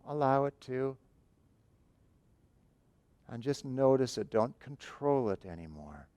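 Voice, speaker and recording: Slow, gravelly-voiced male meditation guide. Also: medium pitch, no echo. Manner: slow, calm, softly spoken instructions with long pauses. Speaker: meditation teacher.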